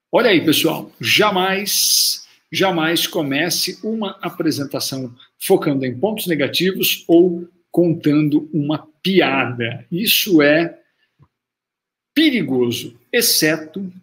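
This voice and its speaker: A man's voice: fairly high pitch, fairly bright tone. Emphatic Brazilian man coaching public speaking. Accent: Brazilian Portuguese. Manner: emphatic, repeats key phrases for stress. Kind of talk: online lesson to camera. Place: small room at home, indoors.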